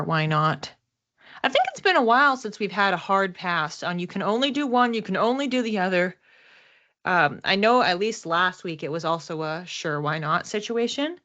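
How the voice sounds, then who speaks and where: A woman's voice: fairly low pitch, a bit bright, lo-fi sound. A woman talking conversationally and reflectively as a psychic reader, explaining things casually to her listeners and stressing key words. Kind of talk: casual explanatory card reading to camera.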